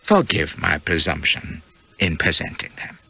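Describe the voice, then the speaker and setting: A male narrator plays a tall, suave Chinese gentleman from a storybook. He is exquisitely polite and humble, with measured formal phrasing that rises and falls widely in a lowish, closely recorded voice. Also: very animated, creaky, husky, dry recording, heavily acted. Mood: humble, obliging. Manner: elaborately polite, formal, self-deprecating, measured. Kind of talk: audiobook character dialogue.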